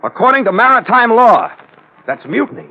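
An actor playing a tough, gruff sea captain in a 1940s radio adventure, clipped and commanding. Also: male voice, extremely high pitch, big pitch swings, fairly quick, exaggerated voice. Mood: scolding, irritated. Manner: hard-boiled, clipped, commanding. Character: tough, gruff ship's skipper, hard-boiled sea captain. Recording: old tinny radio recording with loud music.

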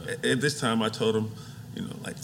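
A man's voice: fairly low pitch, fairly even intonation, gravelly, background noise. Rambling man from Atlanta. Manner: rambling, halting storyteller. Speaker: guest.

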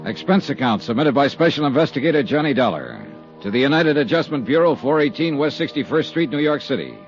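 An American actor playing a tough, steady insurance investigator in a 1950s radio drama, with clipped, hard-boiled delivery. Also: male voice, mid-range voice, fairly quick, faint music behind. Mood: brisk, businesslike. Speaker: lead actor and narrator. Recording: dull vintage 1950s radio recording.

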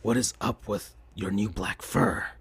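A reader voicing a smug male teen rival hedgehog, speaking low, quiet and mocking. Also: fairly even intonation, a little slow, gravelly, slightly rough, no echo, heavily acted.

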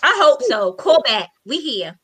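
A woman's voice: sits high, big pitch swings, a little slow, a bit bright. A woman of thirty-eight co-hosting a call-in talk show, joking and emphatic in casual chat. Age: 30s. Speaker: radio show co-host.

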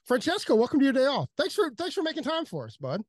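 A man's voice: extremely high pitch, wildly swooping pitch, quick pace, dry recording, clean studio sound. A man hosting a podcast, chatting casually and eagerly, often rambling and restarting his sentences. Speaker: podcast host. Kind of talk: casual interview chat.

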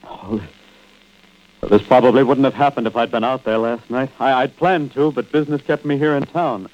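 An actor playing a scheming, deceitful husband in an old-time radio drama, smoothly feigning innocence with menace underneath. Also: male voice, mid-range voice, fairly even intonation, moderate pace, darkish tone, close to an everyday voice. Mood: feigned concern. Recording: dull, noisy old radio recording.

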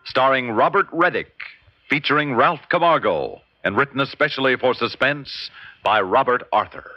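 An actor as a suspenseful announcer introducing an old-time radio drama in a grave, formal style. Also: male voice, medium pitch, lively intonation, moderate pace, natural voice. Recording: dull vintage radio recording, loud music.

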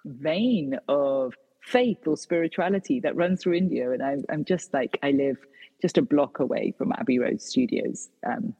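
A woman's voice: low-pitched, lively intonation, normal speed, dark tone, husky, big-room sound, rough recording. A British woman, an author and guest, answering interview questions with many fillers and restarts. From Britain.

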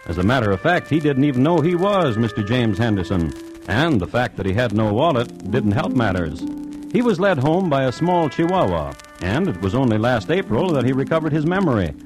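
Wry american guy acting as radio crime story narrator, very animated pitch, bit of music behind. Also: brisk, close to an everyday voice, low-quality recording. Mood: dry, wry. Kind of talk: old-time radio drama narration, wry.

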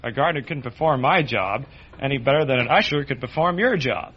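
A man's voice: mid-range voice, animated, fairly quick. A man aged thirty answering questions unscripted as a contestant on an old-time radio quiz. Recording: dull vintage radio, faint noise.

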